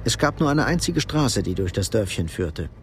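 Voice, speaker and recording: A man narrating a radio drama from a script in a measured, sober manner. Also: fairly low pitch, sing-song, slight background noise.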